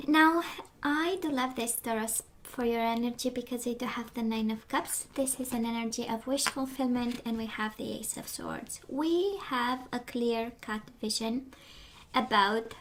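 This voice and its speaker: Higher-voiced woman losing her voice. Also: measured pace. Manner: rambling, many fillers, drops into whispers. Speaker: tarot reader. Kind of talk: casual spoken tarot reading.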